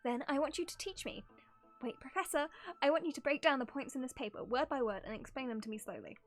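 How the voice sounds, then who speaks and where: A woman's voice: sits high, fast, faint music behind, close to an everyday voice. A woman voicing a suave, demanding male professor of about thirty from a game story, composed and teasing.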